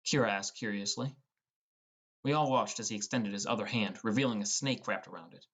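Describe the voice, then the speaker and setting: A man's voice: lowish voice, a little fast, a bit bright. A man reading scripted first-person narration as the narrator of an audiobook.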